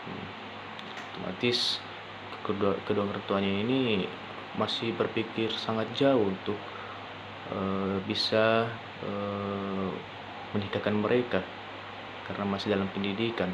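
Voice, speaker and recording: A young man giving an informal student presentation, speaking haltingly with frequent pauses and rephrasing. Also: lowish voice, level tone. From Indonesia. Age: young adult. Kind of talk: informal spoken presentation for a class assignment. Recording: dull lo-fi sound with clear background noise.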